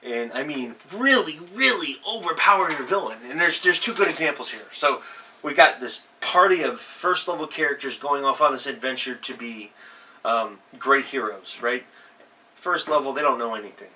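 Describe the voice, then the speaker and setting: A man's voice: mid-range voice, expressive, normal speed, sharp tone. A man hosting an advice show, talking casually and off the cuff, speaking straight to listeners with conversational emphasis. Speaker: host of a tabletop role-playing advice show. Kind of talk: casual unscripted advice talk. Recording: distant mic, faint background noise.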